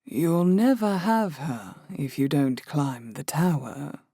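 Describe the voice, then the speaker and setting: A man voicing a silver-haired older woman innkeeper in an audiobook, amused and mysterious, with a slow, hushed, purring delivery. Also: fairly high pitch, lively intonation, gravelly, breathy edge, dry recording, clean studio sound, pitched above the performer's own voice, full character voice. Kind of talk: audiobook character voice.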